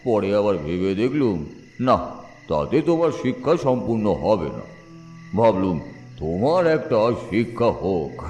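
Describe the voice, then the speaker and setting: A man playing a ghostly old tantric astrologer who speaks slowly with knowing chuckles, kindly but stern. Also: on the low side, sing-song, a little mellow, roomy sound, soft background music. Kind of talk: Bengali audio story acting.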